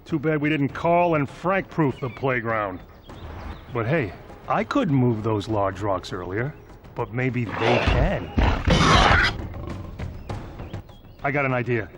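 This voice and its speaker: An actor playing an anxious, earnest mammoth father-to-be in an animated video game, with a dry, fussy manner. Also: mid-range voice, unhurried, very noisy, natural voice. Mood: surprised. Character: anxious, sincere male mammoth, expectant father. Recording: music behind the voice.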